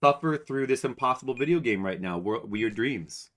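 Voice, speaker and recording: A man's voice: mid-range voice, lively intonation, moderate pace, fairly bright tone. A man in his forties, a game streamer, chatting casually and ramblingly to his viewers at home, with self-mocking humor. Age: 40s.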